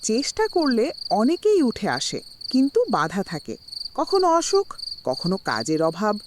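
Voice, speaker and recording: A woman's voice: on the high side, wildly swooping pitch, measured pace. A woman playing a kindly, wise old village grandmother in a cartoon, explaining patiently over background noise. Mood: patient, instructive.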